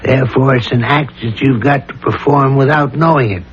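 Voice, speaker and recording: An actor playing a calm, insistent psychiatrist with a measured, deliberate delivery in a 1970s radio drama. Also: male voice, mid-range voice, slight background noise, lightly acted. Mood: insistent, methodical. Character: calm, probing male psychiatrist pressing his patient with theories. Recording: dull lo-fi 1970s radio recording.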